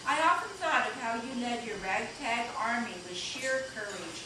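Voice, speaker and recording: A woman's voice: fairly high pitch, animated, normal speed, close to an everyday voice. A woman playing a solemn, reflective male American president from the Civil War in a readers' theater stage reading. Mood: admiring. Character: solemn, reflective Abraham Lincoln in heaven, adult male president. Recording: echoey room, distant mic, noisy.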